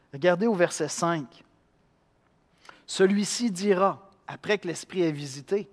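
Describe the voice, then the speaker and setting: A man from Quebec with a Québécois accent, preaching a sermon in an animated way, acting out voices and swinging between loud and hushed. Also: fairly high pitch, in a large hall. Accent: Québécois French. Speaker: pastor.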